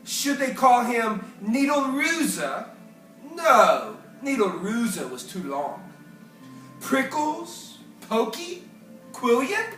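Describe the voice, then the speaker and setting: A storyteller reading a children's picture book aloud with animated, theatrical, deliberate phrasing. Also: mid-range voice. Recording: echoey room, distant mic, faint music.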